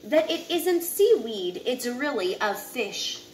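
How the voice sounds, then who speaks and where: A woman in her thirties reading a children's picture book aloud in a deliberate, instructive way, now and then stumbling over a word. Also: fairly high pitch, very animated. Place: home living area with kitchen, indoors. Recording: noisy home recording, room ambience.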